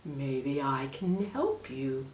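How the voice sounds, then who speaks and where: A woman voicing a sly, menacing male snake in a storybook, falsely caring, with a hushed, breathy hiss and drawn-out delivery. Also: extremely low pitch, sing-song, reverberant, far from the mic, voice pushed down, full character voice. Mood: coaxing. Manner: slow, drawn-out, sinister delivery.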